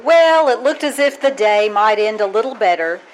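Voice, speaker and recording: A woman playing a sardonic high school teacher with a dry wisecracking delivery in an old-time radio comedy. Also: expressive, normal speed, natural voice, poor audio. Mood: wry. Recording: live stage recording with background noise.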